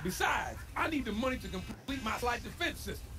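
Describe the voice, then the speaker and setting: A man's voice: extremely high pitch, sing-song, brisk, a crowd in the background, full character voice. A man voicing a scheming male shopkeeper with a put-on Russian accent, speaking in broken grammar and boasting in grand propaganda phrases, as video game acting. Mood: grandiose. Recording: game audio under music.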